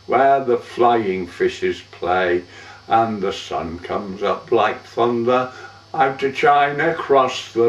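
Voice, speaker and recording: Echoey, slow-paced man reciting verse. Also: medium pitch. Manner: measured, theatrical, drawn-out line endings. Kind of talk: dramatic poetry recitation, monologue. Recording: dull, echoey room, far from mic.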